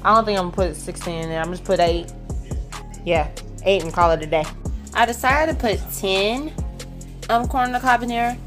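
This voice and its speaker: A young woman walks viewers through her cooking in a casual vlog. She talks into a phone in her home kitchen and often checks in with a quick "okay?". Soft music plays faintly behind her. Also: mid-range voice. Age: young adult. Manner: chatty, walks through each step, tags lines with "okay?". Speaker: vlogger. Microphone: handheld phone camera.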